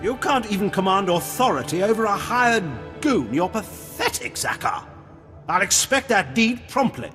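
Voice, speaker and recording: High-pitched sneering indignant nobleman. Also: big pitch swings, normal speed, over music. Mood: contemptuous. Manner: sneering, demanding. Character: indignant haughty male nobleman, sneering extortionist. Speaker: video game voice actor. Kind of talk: dramatic game cutscene dialogue.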